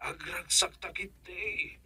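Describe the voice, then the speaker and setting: A man's voice: high-pitched, steady tone, slow, pushed and bright, full character voice. A man acting a money-obsessed, scheming village husband in an Ilocano radio comedy drama, boastful and cajoling, with an Ilocano Filipino accent. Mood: sly, cajoling.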